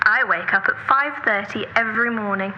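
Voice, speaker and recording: A woman's voice: medium pitch, brisk, lo-fi sound, a little hiss or hum, close to an everyday voice. A woman acting an earnest, orderly, nagging sister in a naturalistic audio drama, exasperated but caring and persistent in her lecturing.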